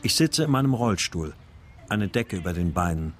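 A man's voice: sits low, big pitch swings, moderate pace, slight background noise. An actor narrating a radio crime drama in the first person as a grave father recounting his own ordeal. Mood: reflective.

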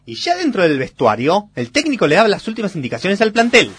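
A man's voice: sits high, huge pitch swings, quick pace, heavy background noise. A man with an Argentine accent as a mock-epic storyteller, reading scripted narration for a comic radio sketch. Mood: mock-solemn.

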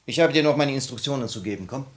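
An actor playing the grave, resolute leader of a revolutionary cell in a 1950 radio play, speaking with measured authority. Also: male voice, medium pitch, natural voice. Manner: measured, authoritative theatrical delivery.

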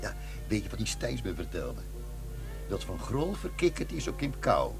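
A man's voice: fairly low pitch, wildly swooping pitch, normal speed, vocal fry, over music, full character voice. An actor playing a wry, skeptical male police detective in a radio drama. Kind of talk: 1990s radio drama acting.

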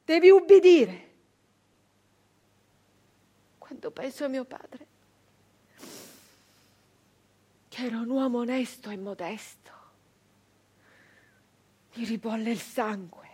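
Anguished high-voiced peasant brigand woman. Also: mellow, breathy edge. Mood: bitter, indignant, then grieving, brooding. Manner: emphatic, insistent repetitions and dramatic pauses. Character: anguished, defiant 19th-century Italian peasant brigand woman. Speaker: stage actress performing a monologue. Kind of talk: dramatic theatrical monologue.

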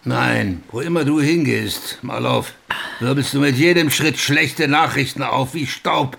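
An actor playing a gruff, foul-mouthed, ageing small-town police chief in a radio play, blunt, threatening and world-weary. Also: male voice, fairly high pitch, pushed and bright, full character voice. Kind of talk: German radio play acting.

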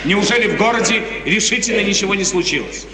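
Lovestruck imperious prince, high voice, theatrical radio drama, long echoing hall. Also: male voice, animated, fast talker. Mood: impatient, curt. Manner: theatrical, rapturous, repeats phrases emphatically. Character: ardent, imperious young prince, lovestruck and impatient. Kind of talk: 1950s Soviet radio theatre acting. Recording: roomy, distant 1950s radio recording.

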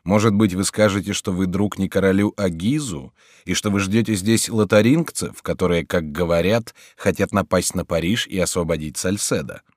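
A man voicing a calm, ironic tall stranger who speaks in an even, measured way. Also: deep voice, no echo, studio quality. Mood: mocking.

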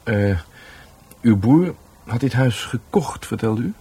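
An actor playing a polite, inquisitive police detective in a radio drama, questioning tactfully in a Dutch accent. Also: male voice, mid-range voice, lively intonation, slow pace, mellow, husky, exaggerated voice. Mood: curious, probing. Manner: probing, hesitant questioning.

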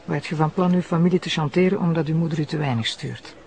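A woman's voice: sits low, fast talker, a little mellow. A woman playing a persistent public prosecutor in a TV drama, polite but insistent as she questions, with a Flemish accent. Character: probing, persistent female public prosecutor posing as journalist. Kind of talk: naturalistic TV drama acting. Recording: noisy background ambience.

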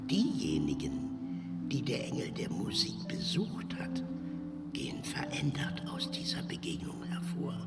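A tender, solemn father telling mystical tales in a radio drama. His whispery voice creaks and swings widely in pitch. He speaks slowly, as if passing on a secret, under music. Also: full character voice. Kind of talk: hushed storytelling in a German radio play. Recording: distant voice under loud music.

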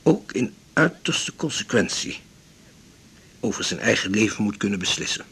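An actor playing an elderly, blunt, resolute widowed seaman in a radio drama, speaking in a measured, formal, philosophical way. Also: on the low side, lively intonation, fairly bright tone, slightly rough, exaggerated voice. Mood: resolute, grave. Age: 70s or older. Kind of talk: 1980s Dutch radio drama acting.

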